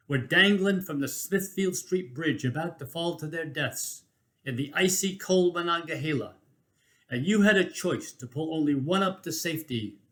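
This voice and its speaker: A man with a slightly high-set voice reads a written personal chronicle aloud. His delivery is that of a storyteller making a case, emphatic and rhetorical. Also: fairly bright tone. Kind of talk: dramatic reading of a first-person personal essay.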